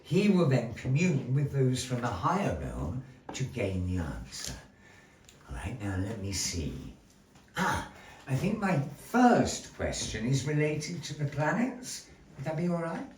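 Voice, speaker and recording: A man's voice: medium pitch, wildly swooping pitch, unhurried, recorded from a distance, a little hiss or hum. A man hosting a puppet show before a live audience, with showy, grandly polite, playful stage patter. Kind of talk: theatrical stage patter with a puppet fortune-teller. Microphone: distant room mic. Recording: echoey room heard from afar.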